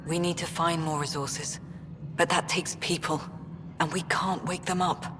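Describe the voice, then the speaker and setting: An actress playing a stern, worried colonial official in a video game. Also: female voice, on the low side, fairly even intonation, moderate pace, background noise, lightly acted. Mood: grim, urgent. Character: stern, worried colonial affairs director, woman. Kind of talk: video game cutscene acting. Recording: reverberant hall with music behind.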